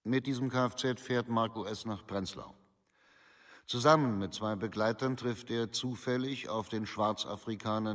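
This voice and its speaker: A man's voice: lowish voice, lightly acted. Man as an impersonal official, reverberant hall. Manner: formal, measured, dry delivery. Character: impersonal official reading a criminal record. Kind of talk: documentary radio drama, formal report reading.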